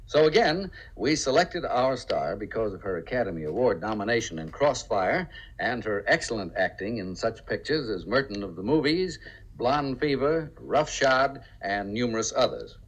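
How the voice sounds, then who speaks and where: A man's voice: medium pitch, animated, moderate pace, rough recording. A man hosting and narrating a radio theater in a formal 1950s broadcast style. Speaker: theater director and host. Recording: vintage radio broadcast, faint music.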